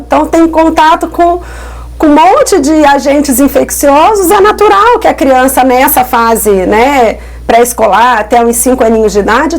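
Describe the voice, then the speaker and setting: A woman's voice: high-pitched, rough recording. Carioca woman explaining as a doctor. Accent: Carioca Portuguese. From Rio de Janeiro, Brazil. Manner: explanatory, frequent tag questions and soft diminutives. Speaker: pediatric pulmonologist guest. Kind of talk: interview explaining child health. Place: podcast studio.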